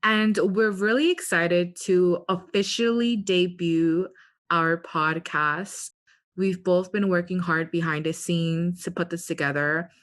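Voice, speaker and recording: A woman's voice: on the low side, steady tone, slow, a bit bright. A woman co-hosting a podcast, talking casually and giving her opinions. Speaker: podcast co-host.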